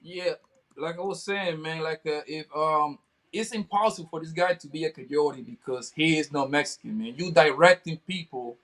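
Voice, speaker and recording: A man with a fairly high voice talking as a stream panelist in heavy street slang. He is emphatic and loops back over his own phrases as he builds a point. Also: moderate pace, fairly bright tone. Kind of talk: casual street-slang commentary.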